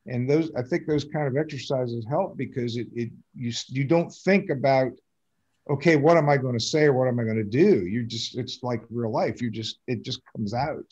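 Husky older man on muffled call. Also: medium pitch, a little mellow. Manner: halting, plain-spoken. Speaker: actor in a community theatre production. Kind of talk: casual reflective chat. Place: indoors on a video call. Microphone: video call computer mic. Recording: muffled video call audio.

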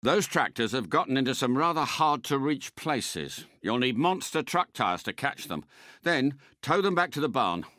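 An actor playing a suave, courteous British spy car in a video game, a polite and unflappable mentor with refined, precise phrasing. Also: male voice, mid-range voice, very animated, normal speed, bright, forceful tone, no room sound, clean studio sound. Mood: briskly instructive. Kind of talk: scripted game character voice lines.

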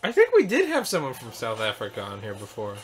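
A man's voice: mid-range voice, wildly swooping pitch, quick pace, over music. An American man co-hosting a game livestream with casual, crude, joking banter. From United States. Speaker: game streamer co-host.

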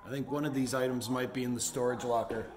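A man's voice: mid-range voice, fairly even intonation, fast, music in the background. A man in his forties chatting casually in a vlog about his day at home. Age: 40s. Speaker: vlogger running an online resale business. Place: home office with bookshelves.

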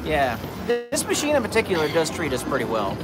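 A man's voice: a little high, huge pitch swings, fairly quick, rough recording. A man from California hosting a live slot stream, friendly, reading out and greeting viewer messages. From California, USA. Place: casino floor. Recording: loud casino machine music behind. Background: loud slot machine music.

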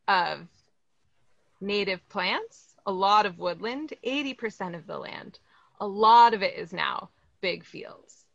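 Upbeat American woman, 30s, leading an online lesson from a greenhouse; bright, slightly gravelly, dry video-call sound. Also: mid-range voice, dry recording, dull audio. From Los Angeles, California. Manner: upbeat, encouraging, asks the audience questions. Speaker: plant educator. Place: greenhouse, indoors. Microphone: video call, laptop or webcam.

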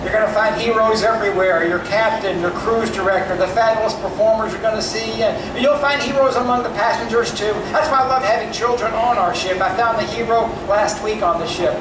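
A middle-aged man with a Kentucky accent working a crowd on a big theater stage, his deadpan lines landing after long pauses. He speaks into a handheld mic, picked up from far back in the house. The hall rings around him, and loud crowd noise sits under his voice. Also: high-pitched. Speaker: stand-up comedian. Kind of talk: stand-up comedy routine. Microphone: handheld mic, filmed from far off.

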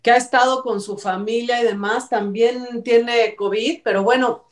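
A woman's voice: fairly high pitch, fairly even intonation, normal speed. A middle-aged woman with a Mexico City accent, hosting a casual panel chat in a chatty, rambling way. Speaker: show host. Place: home room, indoors. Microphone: video call, off the mouth. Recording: roomy, distant home video-call sound.

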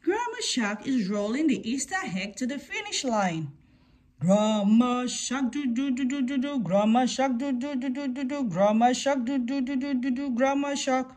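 A woman's voice: high voice, normal speed, a little mellow. A woman reading a children's picture book aloud, breaking into sing-song refrains. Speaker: read-aloud story presenter.

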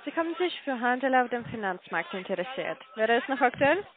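Female call-centre agent, higher register, formal and persistent, narrow phone sound. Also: sing-song, brisk. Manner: formal, insistent. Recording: narrow tinny phone line.

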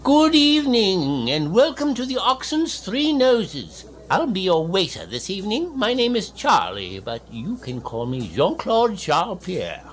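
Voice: mid-range voice, wildly swooping pitch, measured pace, few pauses. An actor playing a campy, pretentious waiter in a radio comedy sketch, with a theatrical manner. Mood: pompous, playful. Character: campy, pretentious waiter with fancy French name.

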